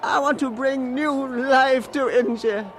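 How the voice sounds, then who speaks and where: An actor playing an elderly, gentle Indian independence leader in a radio drama, speaking earnestly and haltingly with repeated words. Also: male voice, extremely high pitch, heavily acted. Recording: noisy radio drama track with background ambience.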